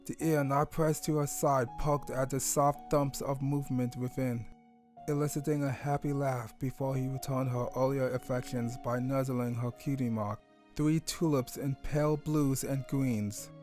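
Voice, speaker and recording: A man reading scripted story narration in a measured, descriptive manner. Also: medium pitch, steady tone, darkish tone, soft background music.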